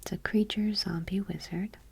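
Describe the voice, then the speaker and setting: A woman speaking in a soft, breathy whisper, reading aloud gently and unhurriedly in an ASMR style. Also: mid-range voice, steady tone, moderate pace. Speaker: host of an ASMR channel.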